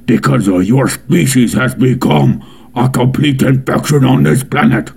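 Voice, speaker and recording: A man's voice: medium pitch, measured pace, gravelly, a little mellow, slightly rough, full character voice. A man voicing a menacing coronavirus. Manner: menacing, blunt. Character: menacing male coronavirus, cold and scornful. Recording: roomy, with faint guitar music.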